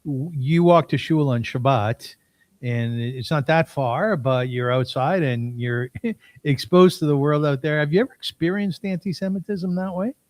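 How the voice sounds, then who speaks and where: A man hosting a talk show, telling stories and introducing topics in measured, rhetorical phrases. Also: on the high side, a little mellow, no echo. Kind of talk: storytelling and scripted show introduction.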